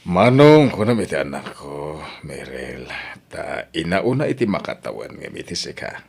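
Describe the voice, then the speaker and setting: Man acting very deep-voiced, loving Ilocano father. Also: very animated, normal speed, gravelly, a little mellow, breathy edge, full character voice. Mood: fond, coaxing. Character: affectionate middle-aged widowed father newly remarrying, gentle and joyful. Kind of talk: audio drama acting.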